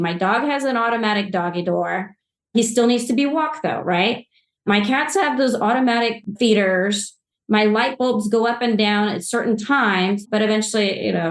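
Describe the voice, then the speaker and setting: A woman in her forties from Poland, answering podcast questions about social media marketing in an enthusiastic, rambling way with frequent restarts. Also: medium pitch. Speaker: social media agency guest. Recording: roomy echo.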